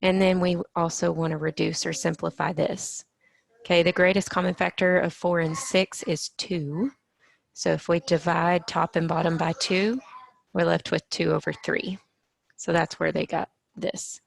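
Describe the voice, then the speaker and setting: A woman teaching a middle-school math lesson, explaining each step patiently and sounding a little hoarse from allergies. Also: lowish voice, flat delivery, normal speed.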